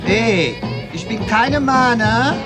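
A man's voice: extremely high pitch, lively intonation, takes it slowly, full character voice. An actor playing a proud, touchy transgender woman working the streets in a radio drama, sassy and sprinkling in Spanish endearments. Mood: indignant, proud. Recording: loud music behind the voices. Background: loud music.

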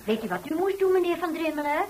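An actress playing a chatty, pushy, gossiping middle-aged widowed neighbour in a Dutch radio play, speaking with a Dutch accent. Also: female voice, extremely high pitch, quick pace, background noise. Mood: coaxing, persuasive. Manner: insistent, gossipy, animated.

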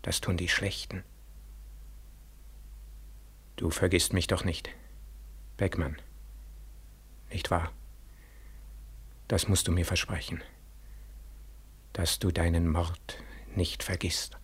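An actor in a 1940s German radio drama playing a dead war returnee, a mournful, gently accusing ghost who speaks slowly and solemnly with heavy pauses. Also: male voice, sits low, flat delivery, husky, full character voice. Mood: soft, weary pleading. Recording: 1940s radio studio recording.

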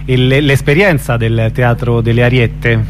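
A man's voice: mid-range voice, steady tone, a little slow, background noise. A man hosts an informal radio interview, putting questions to a guest.

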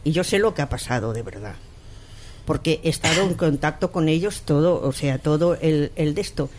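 A woman from Barcelona hosting a radio talk discussion, animated and telling stories with acted-out quotes. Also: sits low, normal speed, background noise. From Barcelona, Spain. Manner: animated storyteller, acts out quotes.

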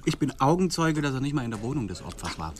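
A man acting an impatient, insistent character in a crime radio drama. His medium-pitched voice presses each point. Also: very animated, fast talker, slight background noise, natural voice.

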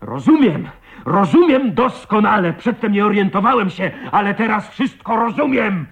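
An actor playing an ardent, proud man in love with his wife, in impassioned, halting 1980s Polish radio drama style. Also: sits high, big pitch swings, gravelly, breathy edge, exaggerated voice. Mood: exasperated, angry. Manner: impassioned, emotional, halting delivery. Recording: dull lo-fi radio play recording.

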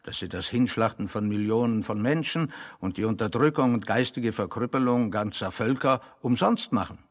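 Gravelly, mock-serious learned man. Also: on the low side, in a large hall. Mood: dry reproach. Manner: deliberate, mock-serious, articulate. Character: pedantic learned man, gravely ironic intellectual in exile. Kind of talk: German radio play dialogue.

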